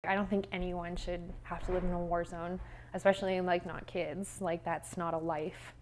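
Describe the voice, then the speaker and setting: A woman's voice: lowish voice, level tone, moderate pace, slight background noise, low-quality recording. A woman from Canada giving earnest, plain-spoken interview answers.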